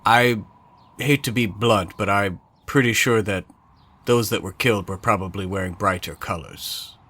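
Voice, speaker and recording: A man's voice: on the low side, normal speed, a bit bright. A man playing a blunt outsider from the wilds in a fantasy tabletop roleplay, terse and grim.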